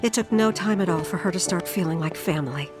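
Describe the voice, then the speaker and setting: An actress playing a gentle, protective middle-aged adoptive mother in a video game, recounting her past in a measured, reflective way. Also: lowish voice, over music, close to an everyday voice. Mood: wistful. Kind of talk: dramatic game cutscene narration of memories.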